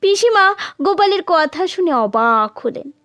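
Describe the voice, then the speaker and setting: Female storyteller, extremely high pitch, slow pace, scripted audio folktale narration. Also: expressive, a bit bright.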